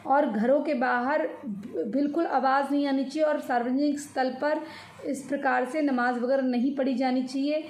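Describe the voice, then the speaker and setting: A woman with an Indian accent giving informal news commentary, explaining in a halting, repetitive way and mixing in English words. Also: high voice, fairly even intonation, a little mellow. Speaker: commentator on social and religious news. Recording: echoey room with faint noise.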